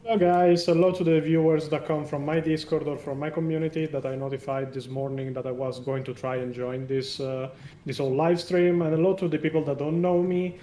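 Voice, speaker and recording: A man with an Italian accent, a non-native English speaker, talking as a guest on a live stream in an animated way with many fillers. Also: fairly high pitch, brisk, echoey room, distant mic. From Italy. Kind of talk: casual live stream discussion.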